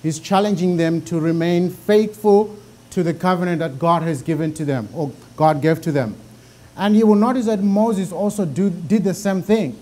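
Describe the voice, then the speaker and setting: A middle-aged man with a deep Zimbabwean accent teaching a Bible class in a conversational way, often repeating words as he thinks. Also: mellow.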